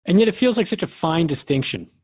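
A man's voice: on the high side, expressive, fast talker, breathy edge, no room sound, narrow, tinny audio. A man playing an earnest, puzzled radio host who asks reflective questions in a scripted drama interview.

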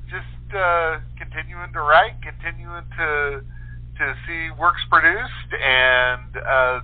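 A man's voice: a little high, steady tone, slow. A man from Kansas with an American accent, chatting casually as an interview guest. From Kansas, United States. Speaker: guest playwright and author. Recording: tinny telephone line.

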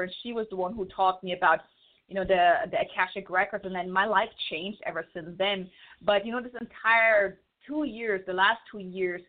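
A woman with a German accent, an author talking fast and stumbling over words as an interview guest. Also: mid-range voice. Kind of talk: casual interview chat. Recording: phone-line audio.

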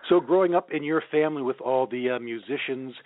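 A man's voice: medium pitch, fairly quick. Male radio interviewer on a thin, phone-like line. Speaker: radio show host, interviewer. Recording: thin phone-like band, roomy echo.

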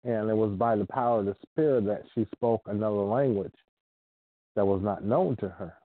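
A man's voice: fairly low pitch, dry recording. Man preaching over a tinny line. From Ohio, United States. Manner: earnest, unhurried, repeats himself. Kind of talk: informal sermon with scripture reading. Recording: dry tinny phone-like sound.

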